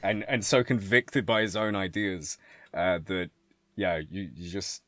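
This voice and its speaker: A man's voice: low-pitched, unhurried, a bit bright, no echo. A man speaking as an interviewed director in a casual, informal chat, thinking aloud as he answers. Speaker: animation director being interviewed. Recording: music playing underneath. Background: music.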